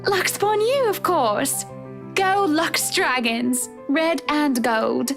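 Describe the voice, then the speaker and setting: A self-assured rich wife in a visual novel game, with a high voice. She is assertive and clever, making her points with playful confidence over faint music. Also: lively intonation, slow, vocal fry, heavily acted. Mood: breezy, confident. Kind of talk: voice acting in a horror visual novel.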